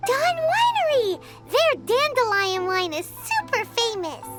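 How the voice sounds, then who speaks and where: A woman's voice: sing-song, slow pace, heavily acted. A woman voicing a tiny, chirpy female fairy companion in a video game. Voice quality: high, squeaky. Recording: loud music behind.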